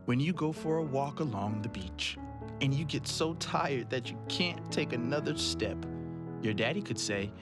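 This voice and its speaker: A man reading a children's picture book aloud in an expressive storytelling manner. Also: mid-range voice. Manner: slow, lively storytelling voice. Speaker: children's read-aloud host. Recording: loud guitar music behind.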